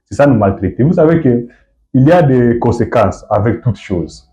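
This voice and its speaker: A man from Goma with a Congolese accent, giving halting political answers in an interview, often repeating and rephrasing himself. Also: mid-range voice, animated, warm tone. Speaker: activist guest being interviewed. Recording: dull, muffled lo-fi sound.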